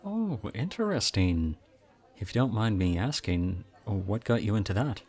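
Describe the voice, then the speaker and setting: A very low-pitched young college guy, played by a male voice actor in an intimate roleplay audio drama. He is chatty and charming, pokes fun at himself and teases softly, with something subtly odd about him. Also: sing-song, measured pace, heavily acted. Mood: curious, friendly. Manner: chatty, self-deprecating, softly teasing.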